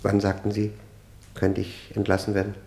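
A man acts a proud, long-jailed convict in a vintage radio drama. The voice is deep and creaky, with grave, halting, formal delivery. It echoes in a roomy studio. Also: monotone, darkish tone, lightly acted. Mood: subdued, resigned. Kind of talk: mid-century German radio drama acting.

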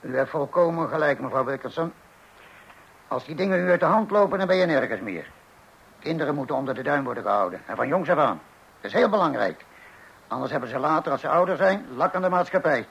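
A man's voice: on the high side, lively intonation, fast talker, vocal fry, a bit bright, husky, muffled recording, exaggerated voice. An actor playing an opinionated, self-righteous, pompous man in a Dutch radio play. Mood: emphatic. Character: opinionated, self-righteous man who believes in strict child-rearing.

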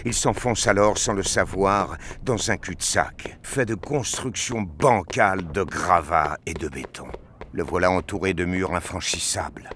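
A man's voice: deep voice, measured pace, fairly bright tone, slight background noise. A man giving a dramatic scripted narration of a science fiction action story.

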